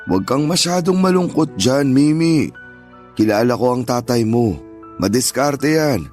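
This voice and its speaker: A man's voice: mid-range voice, animated, measured pace, faint music behind, lightly acted. An actor playing an elderly Filipino grandfather, stubborn but joking and reassuring, in radio drama style. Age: older. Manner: gentle, reassuring, fatherly.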